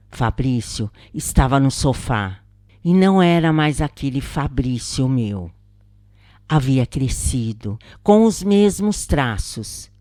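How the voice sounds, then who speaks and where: A woman with a Brazilian Portuguese accent giving a solemn, dramatic first-person narration in an expressive, deliberate audiobook style. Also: extremely low pitch, dry recording, studio quality.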